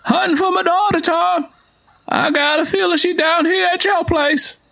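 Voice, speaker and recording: Stern old southern father, super high creaky voice, pushy and blunt, storybook drama. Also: fairly even intonation, normal speed, pushed and bright, exaggerated voice, rough recording. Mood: accusing, stern. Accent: American South. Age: older. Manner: insistent, blunt, confrontational.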